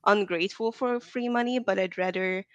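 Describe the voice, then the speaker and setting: A woman's voice: mid-range voice, fairly even intonation, normal speed, fairly bright tone, no echo, dull audio. A woman from Hungary, chatting casually as an interview guest, full of likes and ums. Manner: chatty, frequent "like" and "um".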